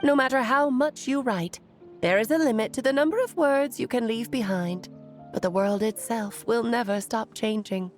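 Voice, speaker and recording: A woman playing a whimsical, affectionate magician in a video game, with a theatrical, musing delivery and drawn-out phrasing. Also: fairly high pitch, natural voice. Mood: musing, gently regretful. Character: whimsical, affectionate female magician and old friend. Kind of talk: dramatic video game voice acting. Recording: music under the voice.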